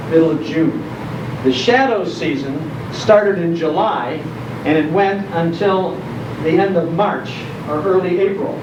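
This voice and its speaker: Older male speaker, American accent, nostalgic stage storyteller with deliberate dramatic emphasis; echoey room, clearly noisy live recording. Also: a little high. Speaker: guest speaker, radio nostalgia host. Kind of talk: live talk telling nostalgic stories to an audience. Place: indoor program venue before an audience. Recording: echoey, noisy, muffled live hall recording, distant microphone.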